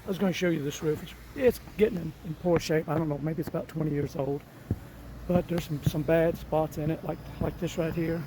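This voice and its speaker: A man, his voice rough from a cold, casually narrating his DIY roof work outdoors in a folksy, rambling way. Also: on the high side, steady tone, brisk, noisy background. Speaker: DIY vlogger. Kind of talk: casual narration while working.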